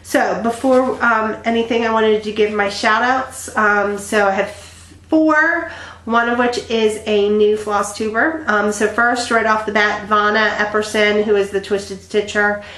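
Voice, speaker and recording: A woman's voice: medium pitch, fairly even intonation, measured pace, fairly bright tone. A woman in her thirties, stuffy and congested, chatting casually to her viewers with lots of ums and restarts. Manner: rambling, many ums and restarts. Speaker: flosstube vlogger. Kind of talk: casual chat to camera. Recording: echoey room.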